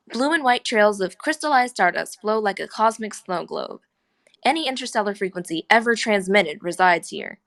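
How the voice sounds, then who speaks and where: A woman's voice: mid-range voice, fairly bright tone. A woman reading scripted stage directions and scene headings as narrator at a screenplay table read.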